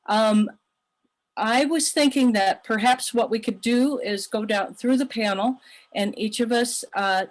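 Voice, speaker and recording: A woman moderating a panel discussion, speaking in a thoughtful, halting way. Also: medium pitch, poor audio. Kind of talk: panel discussion on a video call.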